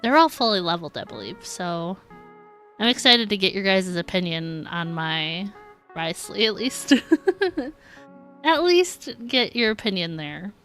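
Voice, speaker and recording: A woman's voice: on the low side, expressive, unhurried, fairly bright tone, soft background music. A woman livestreaming a video game, chatting casually and informally with viewers in a giggly, easygoing way. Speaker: live streamer. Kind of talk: casual gaming livestream chat.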